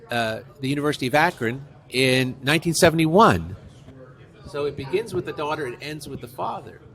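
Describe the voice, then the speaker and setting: A man's voice: fairly high pitch, fairly bright tone. A middle-aged man from New York telling anecdotes in an interview, talkative and enthusiastic about his subject. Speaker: author, interview guest. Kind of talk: conversational interview, anecdotal storytelling.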